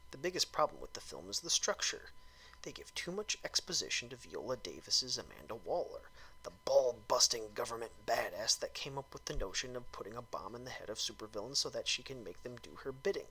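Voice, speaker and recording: A man performs a written review as an over-the-top dramatic reading. His voice is intense and very sharp, with a lively swooping pitch. A husky roughness runs through it, and he leans theatrically on his emphasis. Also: fairly quick, rough recording.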